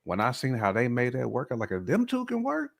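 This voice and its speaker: A man's voice: mid-range voice, huge pitch swings, a little fast, vocal fry. A man talking casually as a podcast guest, in a colloquial, slangy way with frequent check-in phrases. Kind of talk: casual chat, telling personal views.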